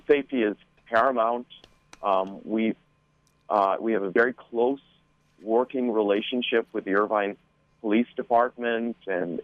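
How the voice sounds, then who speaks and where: Halting man with a low voice. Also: no room sound, lo-fi sound. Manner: halting, measured, frequent hesitations. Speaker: school board member guest. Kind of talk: interview answers.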